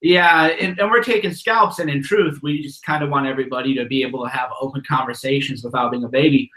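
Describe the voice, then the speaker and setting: A man's voice: mid-range voice, fast talker, bright, forceful tone, roomy sound, poor audio. A man hosting a casual interview, asking questions and giving his frank take with frequent restarts. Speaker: host of call-in show.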